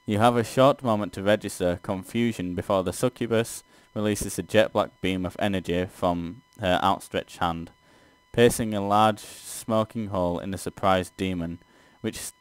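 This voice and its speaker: A man's voice: fairly low pitch, moderate pace, smooth, dry recording. A man doing a let's play, reading a text game aloud and reacting casually.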